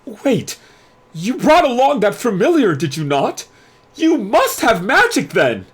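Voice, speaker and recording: A man voicing a theatrical, easily flustered head mage of a magic school, excitable and dramatic. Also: sits high, unhurried, breathy edge, pitched above the performer's own voice, heavily acted. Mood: flustered, protesting.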